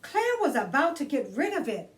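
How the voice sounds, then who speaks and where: A woman's voice: high voice, sing-song, moderate pace, distant mic. A woman hosting a children's storytime, reading a storybook aloud expressively and addressing young listeners directly in a theatrical way.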